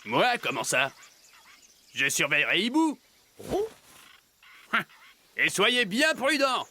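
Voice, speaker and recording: A man's voice: high-pitched, huge pitch swings, slow, sharp tone, full character voice. A man voicing a sly, bossy animal gang leader in a French cartoon dub, commanding and sardonic.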